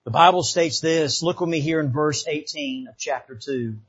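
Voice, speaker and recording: A man's voice: on the high side, normal speed, husky, lo-fi sound. A man from the Bahamas preaching a revival sermon in a church, fervent and repetitive, often calling out amen.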